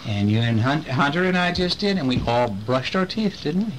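A middle-aged man reading a picture storybook aloud to a child in an expressive way, with chatty asides and questions. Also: fairly high pitch, moderate pace, poor audio. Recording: home recording with faint background noise.

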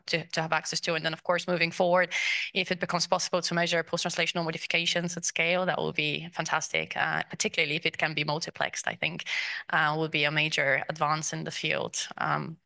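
A woman's voice: low voice, bright, forceful tone. A woman in her thirties speaking as a panelist on a conference stage into a handheld mic, thoughtful and hedging with fillers. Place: conference stage, large hall.

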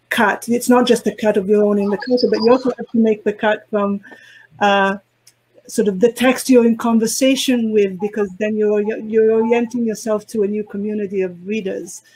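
A woman whose first language is Italian, speaking as a poetry translator on a video call panel, slow and halting as she searches for words. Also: medium pitch, steady tone, a little mellow, slightly rough, low-quality recording. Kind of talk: reflective panel discussion.

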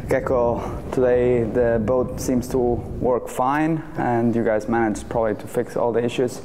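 A man's voice: mid-range voice, fairly even intonation, a little slow. A man asking questions as an interviewer. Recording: reverberant, faint noise.

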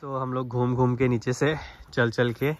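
A man's voice: mid-range voice, little pitch movement, very fast. A young man with an Indian accent, narrating a casual vlog and switching between languages, recorded on a handheld phone. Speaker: vlogger.

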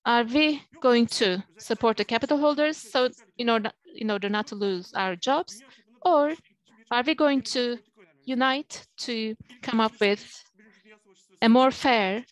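Woman interpreting a speech, halting. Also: fairly high pitch, rough recording. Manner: hesitant, frequent fillers.

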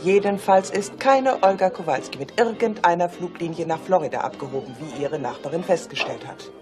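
A woman's voice: lowish voice, brisk, over music, close to an everyday voice. An actress in a German radio drama playing a shrewd, self-assured policewoman who teases her boss. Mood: matter-of-fact, assured. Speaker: police officer.

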